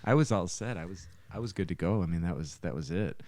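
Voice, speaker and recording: A man in his fifties telling stories casually on a podcast, halting and emphatic as he goes. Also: deep voice, lively intonation, a little mellow, no room sound. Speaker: musician and podcast co-host. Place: small home living room.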